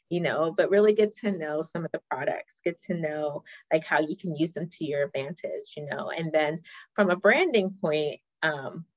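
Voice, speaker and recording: Podcast guest, woman in her 30s, low voice, chatty with fillers, dry and a bit dull sound. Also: no room sound. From Ghana. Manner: chatty, frequent fillers and restarts. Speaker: marketing director, podcast guest. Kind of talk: casual interview chat.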